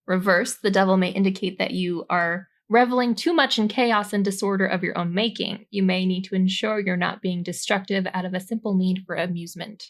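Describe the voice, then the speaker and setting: A woman's voice: mid-range voice, steady tone, a little fast, hardly any pauses. A woman co-hosting a podcast, explaining tarot cards in an informal, jokey, giggly way that moves between reading notes and casual chat. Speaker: podcast co-host.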